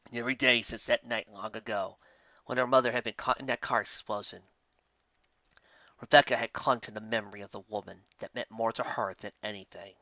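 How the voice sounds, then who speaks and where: A man's voice: lowish voice, fairly even intonation, fairly quick, sharp tone, dry recording, highs cut off. A man narrating a story in a dramatic, scripted reading style. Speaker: fan fiction reader.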